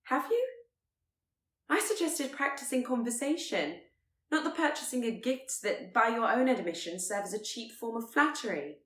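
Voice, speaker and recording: A woman voicing a spirited, candid and tender young Regency gentlewoman, articulate and earnest. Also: fairly high pitch, sing-song, normal speed, roomy sound, voice pushed up. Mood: exasperated, reproachful.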